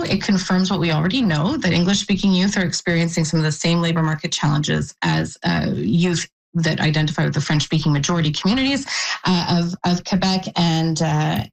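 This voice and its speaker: A woman who organizes youth employment work, answering radio interview questions in a halting, measured way and often restarting her phrases. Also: fairly low pitch, rough recording.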